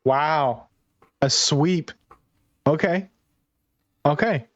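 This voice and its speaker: A man's voice: fairly high pitch, very slow, no echo, dull audio. A man co-hosting a podcast with casual analysis, rambling and repeating words as he works through his thoughts. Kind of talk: casual esports discussion.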